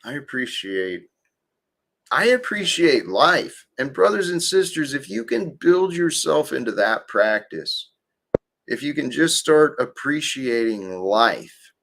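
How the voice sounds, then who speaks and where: A man hosting a livestream, talking slowly and calmly about faith and gratitude in a soothing, gently preaching manner. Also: mid-range voice, gravelly. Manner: slow, calm, gently preaching. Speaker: livestream host. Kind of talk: spiritual livestream talk.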